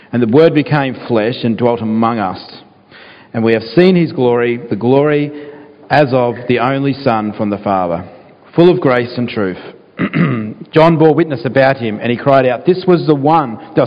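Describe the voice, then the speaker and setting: A man with a croaky voice, earnest and pausing with fillers, praying and reading scripture as a pastor in a sermon. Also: medium pitch. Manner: earnest, with frequent fillers and restarts. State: croaky, clearing his throat. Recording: dull sound, long church reverb.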